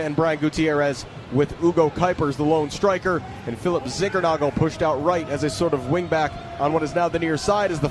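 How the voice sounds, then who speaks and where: A man doing live play-by-play soccer commentary on the radio, from an open-air stadium. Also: on the high side. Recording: noisy outdoor stadium ambience. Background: loud stadium ambience.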